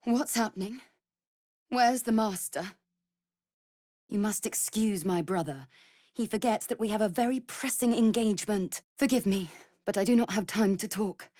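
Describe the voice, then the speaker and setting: A woman's voice: medium pitch, moderate pace, long pauses, mellow, dry recording, studio quality, close to an everyday voice. An actress playing an earnest, anxious female vampire spawn, a loyal sister who speaks formally, in a video game. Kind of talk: dramatic video game voice acting.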